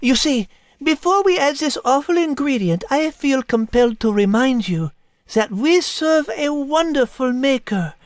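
An actress playing a refined, sensible French cat who co-hosts a scripted podcast, with a French accent. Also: female voice, high voice, lively intonation, unhurried, no room sound, clean studio sound. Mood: earnest. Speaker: co-host in character. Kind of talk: scripted podcast banter and storytelling.

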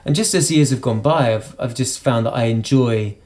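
A singer-songwriter from the UK, talking in an interview about his singing, with a high voice and halting speech full of restarts. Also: a little mellow. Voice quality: self-described high voice. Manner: halting, many restarts and repeated words. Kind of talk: conversational interview.

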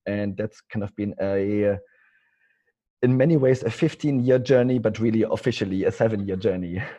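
Low, mellow German-accented man. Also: moderate pace. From East Germany. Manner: rambling, hesitant, many fillers and restarts. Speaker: guest. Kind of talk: casual interview talk.

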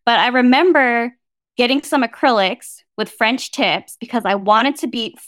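A woman's voice: a little high, moderate pace, fairly bright tone, dry recording, studio quality. An American woman from the Bay Area, speaking frankly and matter-of-factly as a guest academic in a conversational interview. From San Francisco Bay Area, United States. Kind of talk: candid conversational interview answer.

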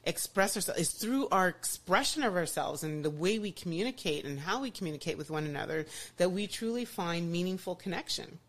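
A woman's voice: on the low side, expressive, brisk, hardly any pauses, a bit bright. A woman hosting a podcast, talking enthusiastically in casual chat with many restarts and "you know"s. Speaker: podcast host.

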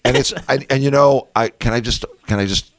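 A man in his sixties co-hosting a radio talk show, hoarse from losing his voice, chatty and self-deprecating. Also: medium pitch, fairly quick, dry recording. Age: 60s. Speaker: radio co-host. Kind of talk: casual radio chat.

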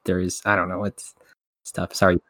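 A man hosting a casual podcast, animated and quick to laugh as he talks. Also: low-pitched. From United States. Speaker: podcast host.

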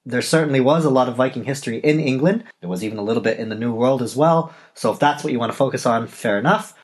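A man's voice: mid-range voice, fast talker. Chatty Canadian man musing aloud. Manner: chatty, digressive, with self-corrections. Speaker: podcast host and novelist. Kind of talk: casual solo podcast talk.

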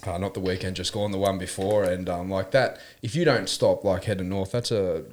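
Young Aussie host, lower voice, slangy, laughs through his stories. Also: steady tone, a little fast, a little mellow, slight background noise. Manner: laughs often while telling stories, slangy. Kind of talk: casual chat.

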